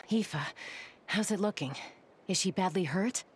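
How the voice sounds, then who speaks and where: A woman's voice: mid-range voice, slow, slight background noise, close to an everyday voice. A woman acting as an easygoing, confident female gunslinger in a video game, speaking in a casual, wry way. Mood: concerned, curious. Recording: music under the voice.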